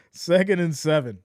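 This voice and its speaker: Hoarse male fan commentator, high-ish voice, close dry mic, emotional live play calls. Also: dry recording. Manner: excitable, swings from shouting to deflated mutters. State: losing his voice. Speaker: streamer doing play-by-play.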